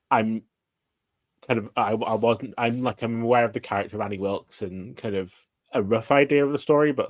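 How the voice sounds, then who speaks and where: A man's voice: lowish voice, no room sound. A man chatting casually about books as a livestream guest, meandering and hesitant, often saying "like". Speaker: guest co-streamer.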